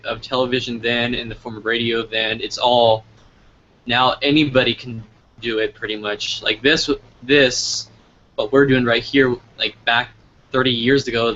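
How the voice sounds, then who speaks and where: Man, bright forceful voice, casual interviewer, halting phrasing, dull recording with faint noise. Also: medium pitch. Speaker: interviewer, host. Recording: lo-fi online call with background noise.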